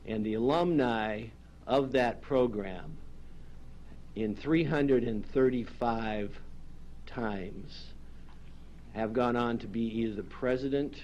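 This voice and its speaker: A man speaking to a county board as a program coordinator, in a measured and courteous manner. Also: medium pitch, darkish tone, highs cut off, a little hiss or hum. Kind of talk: formal address to a public board. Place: county legislative chambers.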